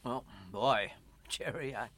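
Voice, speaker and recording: An actor playing a kindly, middle-aged pastor, earnest and hesitant, in a radio play. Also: male voice, mid-range voice, a bit bright, no echo. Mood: hesitant, awkward. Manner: hesitant, halting, earnest, placating.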